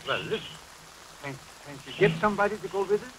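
A man's voice: high voice, animated, fast. A man acting a kindly, persistent, fatherly companion in a 1930s horror film, coaxing and repeating himself. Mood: persuading. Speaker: film actor. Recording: noisy 1930s film soundtrack under rain. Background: rain storm.

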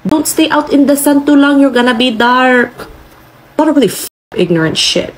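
A woman's voice: high-pitched, lively intonation, normal speed, a little hiss or hum, low-quality recording. A young woman giving a blunt, scolding, sweary response to camera as a social media creator. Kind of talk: direct-to-camera video response.

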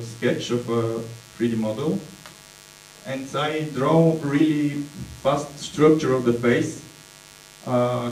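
A man with a Bulgarian accent speaks at an unhurried pace, filling gaps while he hunts for English words. His tone is dark and relaxed. The sound is distant and echoey, with a faint mains hum behind it. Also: mid-range voice. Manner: hesitant, many fillers, searching for English words. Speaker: conference presenter. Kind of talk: slow conference talk with slides. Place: dark auditorium stage.

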